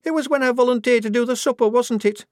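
A man's voice: extremely high pitch, fast talker, no echo, studio quality, pitched above the performer's own voice. A man voicing a young woman disguised as a male recruit, who mumbles and then speaks hotly. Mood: flustered. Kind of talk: audiobook character voice.

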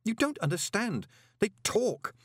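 An actor playing a panicked young man who gasps his words out, strained and high. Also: huge pitch swings, slow pace, a bit bright, dry recording, clean studio sound, full character voice. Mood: frantic. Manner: gasping, strained and high-pitched. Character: panicked young male con man, frantic.

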